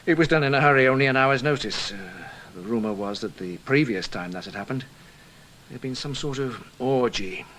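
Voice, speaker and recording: An actor playing a deferential security investigator in a radio drama, giving polite, factual reports. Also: male voice, medium pitch, fairly quick, fairly bright tone, slight background noise, close to an everyday voice. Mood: informative, dry. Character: deferential, matter-of-fact male British security investigator. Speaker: subordinate colleague reporting.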